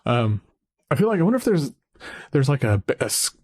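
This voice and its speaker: A man hosting a music podcast, chatting casually with a deep voice, rambling with fillers and restarts. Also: sing-song, a little fast, a little mellow, no echo, clean studio sound. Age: adult, a parent. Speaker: podcast host. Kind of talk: casual conversation about music.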